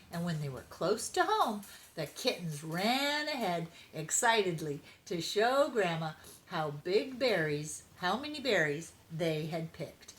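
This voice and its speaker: Older lady reading a kids story, really expressive, slow and careful pace. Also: on the low side, slight background noise. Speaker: storybook reader. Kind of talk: expressive children's story narration.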